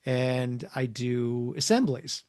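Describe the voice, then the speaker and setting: A middle-aged man telling stories casually as an author guest in an interview, animated and prone to acting out dialogue. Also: medium pitch, takes it slowly. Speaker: guest, comics and children's book author.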